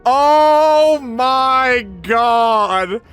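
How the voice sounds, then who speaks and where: A young man giving casual, excited reaction commentary in slangy, gushing, animated fan talk. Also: very high-pitched, extremely slow, smooth, soft background music. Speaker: reaction video creator.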